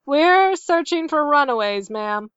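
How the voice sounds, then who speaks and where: A woman's voice: high voice, lively intonation, unhurried, a bit bright, no echo, lo-fi sound, pitched above the performer's own voice. A woman voicing a male slave catcher in a story, falsely polite and lazily menacing, with a casual drawl. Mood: coolly polite.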